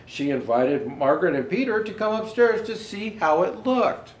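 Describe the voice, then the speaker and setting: Male children's storyteller, highish voice with animated swings, halting read-aloud delivery with asides about pictures, roomy echoing recording. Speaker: storyteller presenting as Santa Claus.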